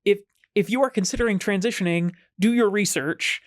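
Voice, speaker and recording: A woman talking as a podcast guest, casual and self-deprecating, sometimes jumping up in pitch when she jokes. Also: fairly quick, no echo, studio quality. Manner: chatty and self-deprecating, with sudden playful jumps in pitch.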